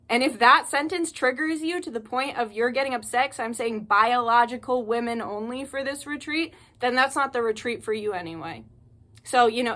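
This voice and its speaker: A woman's voice: fairly high pitch, pushed and bright. Fiery, profane woman ranting to camera. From United States. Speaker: spiritual channel host. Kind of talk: impassioned monologue to camera.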